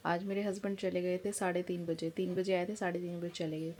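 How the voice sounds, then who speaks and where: A woman's voice: fairly low pitch, little pitch movement, a little fast, a little mellow. A woman in her forties from India, chatting frankly and casually to camera at home as a family vlogger. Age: 40s. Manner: frank, chatty, talks plainly and openly.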